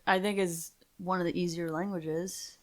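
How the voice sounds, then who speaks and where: A woman's voice: fairly low pitch, fairly even intonation, moderate pace. A woman chatting casually on a comedy podcast, rambling and hedging her points with lots of "like". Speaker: podcast co-host or guest.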